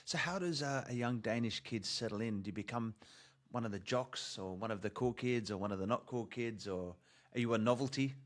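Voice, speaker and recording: A man's voice: mid-range voice, fairly quick. An Australian man hosting a podcast, asking questions in a friendly, informal way. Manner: friendly, informal, says "mate". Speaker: podcast host. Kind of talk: casual interview chat.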